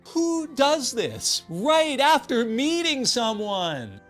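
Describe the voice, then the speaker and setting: Wry man with lively, swinging intonation. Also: extremely high pitch, slow pace, faint music behind. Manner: sardonic, wry, exaggerated emphasis. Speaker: video game commentator. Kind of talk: scripted video essay commentary.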